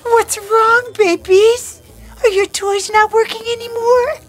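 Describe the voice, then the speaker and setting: A woman's voice: very high-pitched, fairly even intonation, slow. A middle-aged woman playing a strict, cranky schoolteacher who hates phones, scolding and mocking her students in a scripted comedy skit. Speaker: teacher. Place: staged classroom set. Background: music bed.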